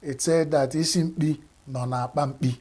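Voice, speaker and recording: Measured Nigerian man, political commentary. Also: a little high, fairly even intonation, darkish tone, breathy edge. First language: Igbo. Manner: measured, halting, many fillers and restarts.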